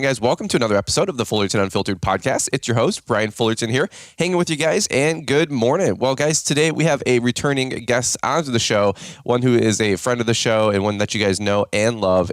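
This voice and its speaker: Raspy-voiced man hosting a podcast. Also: mid-range voice, dry recording, studio quality. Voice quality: raspy morning voice. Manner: upbeat, talkative, many fillers and restarts. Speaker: podcast host. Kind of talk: casual podcast chat.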